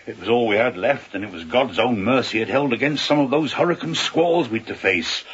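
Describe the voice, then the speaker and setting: An actor playing an older, plain-spoken and rattled sea captain in a radio drama, husky and rough, halting and repeating himself with emphasis. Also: male voice, mid-range voice, lively intonation, a bit bright, lo-fi sound, close to an everyday voice. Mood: grave, agitated.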